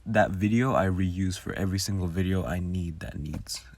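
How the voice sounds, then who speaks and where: A man's voice: deep voice, normal speed, vocal fry. A man giving casual behind-the-scenes commentary on his own audio work in a rambling, informal way. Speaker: audio roleplay creator.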